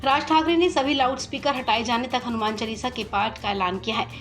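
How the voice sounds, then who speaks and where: A woman's voice: a little high, fairly quick, music in the background. A woman presenting the news in a scripted narration style.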